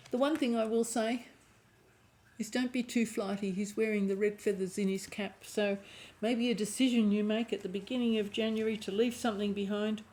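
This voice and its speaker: A woman with a croaky voice and a lingering cough giving an intuitive tarot reading, thinking aloud and often restarting her phrases. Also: medium pitch, steady tone, moderate pace, darkish tone. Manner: musing aloud, restarting phrases, clearing throat. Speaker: tarot reader.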